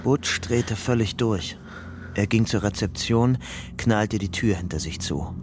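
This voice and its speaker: A man's voice: on the low side, unhurried, heavy background noise, close to an everyday voice. An actor playing a young fugitive who narrates his grim story soberly and tensely in short sentences for a radio crime drama.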